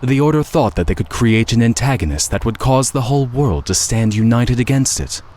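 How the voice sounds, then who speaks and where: An actor playing a scheming, taunting villain who craves chaos, speaking theatrically and condescendingly in a video game. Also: male voice, medium pitch, lively intonation, fairly quick, a little mellow, lightly acted. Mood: smug explaining. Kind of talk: dramatic video game voice acting.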